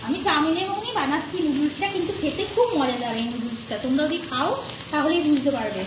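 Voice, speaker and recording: A very high-voiced middle-aged woman narrates home cooking in a casual, chatty way. She explains each step as she goes, with sizzling and stirring noise clearly behind her. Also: animated, fast talker, reverberant. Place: home kitchen. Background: frying sizzle, stirring.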